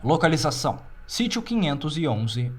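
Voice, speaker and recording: A man with a Brazilian accent narrating a scripted fictional report in a measured, formal, clinical manner. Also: on the high side, big pitch swings, music in the background. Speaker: narrator of horror fiction files.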